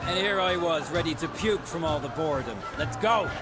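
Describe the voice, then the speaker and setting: A man's voice: a little high, a little fast, natural voice. A man voicing a boisterous young warrior. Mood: eager. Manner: eager, hearty. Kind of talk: video game cutscene acting. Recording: game cutscene with loud score. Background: loud orchestral music.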